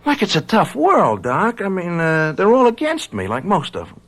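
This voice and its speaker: A man's voice: high voice, wildly swooping pitch, normal speed, fairly bright tone, full character voice. An actor playing a brash, troubled young college man in a radio drama, with slangy, stammering, defensive speech. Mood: agitated, bitter. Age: young adult.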